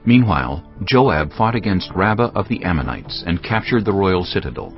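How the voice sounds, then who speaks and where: Male narrator, very low gravelly voice, scripture storytelling, slightly muffled with music behind. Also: very animated, normal speed. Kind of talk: scripted dramatized Bible narration.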